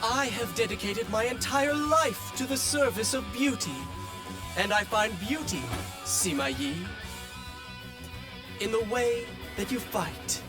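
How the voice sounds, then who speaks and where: A man's voice: very high voice, heavily acted. An actor playing a vain male general obsessed with beauty, speaking in a flowery, admiring way. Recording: game score playing behind.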